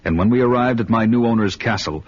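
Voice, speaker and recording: A man's voice: fairly low pitch, quick pace, slight background noise, close to an everyday voice. A man playing a brooding barbarian narrator. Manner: grave, dramatic storytelling. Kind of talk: old radio drama narration. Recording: dull old radio audio, loud music.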